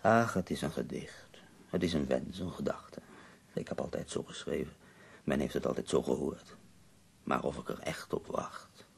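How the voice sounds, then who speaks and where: Sick old-world poet, very low voice, husky, sardonic, death-weary, theatrical pauses, radio drama. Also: male voice, whispered, exaggerated voice. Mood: weary, melancholy. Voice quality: husky, gravelly. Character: ailing, bedridden male poet in Paris, wry and death-weary.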